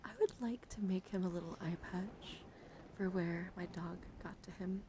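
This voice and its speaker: A young woman streaming ASMR, chatting playfully and teasingly to viewers in a coddling way, drifting between soft talk and whispers close to the microphone. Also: lowish voice, normal speed, noisy background. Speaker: live streamer. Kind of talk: ASMR livestream with casual chat to viewers.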